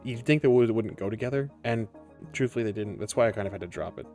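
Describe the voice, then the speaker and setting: Tabletop game creature: man as talkative tarantula graduate, lowish voice, apologetic, halting, conversational. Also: darkish tone, soft background music, lightly acted. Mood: sheepish.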